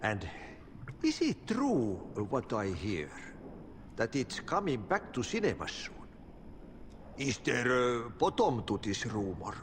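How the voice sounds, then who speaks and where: An actor playing an eccentric, chatty Finnish man in a game cutscene, with a Finnish accent and halting, cryptic talk that slips into Finnish. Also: mid-range voice, wildly swooping pitch, in a large hall, slight background noise. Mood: amused, admiring. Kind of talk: video game cutscene acting.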